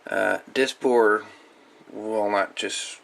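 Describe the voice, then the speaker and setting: A man giving a casual, unhurried, folksy hands-on repair narration, thinking aloud as he goes. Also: on the low side, steady tone, gravelly, a bit bright. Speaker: hobbyist radio repairer presenting a video.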